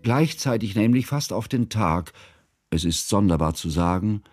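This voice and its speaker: An actor playing an earnest, scholarly narrator in a literary radio drama, speaking in a formal, measured, solemn way. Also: male voice, fairly low pitch, expressive, loud music behind the voice, lightly acted. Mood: solemn, concerned. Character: earnest, scholarly male narrator, devoted old friend.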